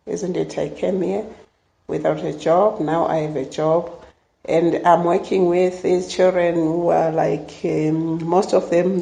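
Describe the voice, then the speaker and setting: A woman's voice: fairly low pitch, vocal fry, mellow. A woman teacher speaking hesitantly and from the heart in a documentary interview. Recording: roomy echo.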